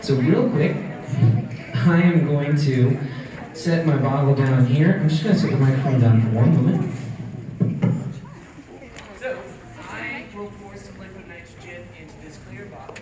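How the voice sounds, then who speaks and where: A man's voice: on the high side, normal speed, pauses often, dull audio. A young man giving a live science demonstration to a crowd, explaining each step in an upbeat way to the kids watching. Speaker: science educator. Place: large indoor event hall. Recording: echoey hall, far from the mic, crowd behind. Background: crowd chatter.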